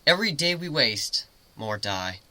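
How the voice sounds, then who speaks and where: Guy reading a story dramatically, really bright forceful voice, pitch swings around a lot. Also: big pitch swings, a little slow. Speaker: amateur story reader, narrator. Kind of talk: dramatic first-person fan fiction reading.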